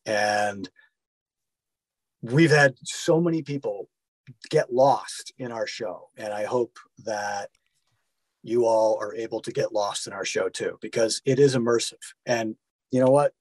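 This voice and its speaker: A man with a fairly low voice talks casually as an interview guest, in the role of actor and director. The sound is dry, with hardly any room to it. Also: measured pace, darkish tone, dry recording, low-quality recording.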